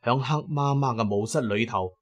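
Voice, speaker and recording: A man's voice: medium pitch, normal speed, soft background music. A man giving dramatic first-person narration as the narrator of an audio drama.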